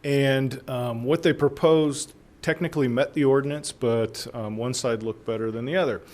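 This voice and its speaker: A hoarse man with a cold, presenting zoning changes at a city council meeting in a halting way, with many fillers and restarts. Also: mid-range voice, steady tone, darkish tone. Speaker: city planning staff presenter. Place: council chamber.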